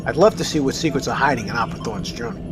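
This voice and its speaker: An actor playing a tired, decisive submarine captain who gives calm, brisk orders in an audio drama. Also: male voice, mid-range voice, music in the background, lightly acted. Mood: calm command.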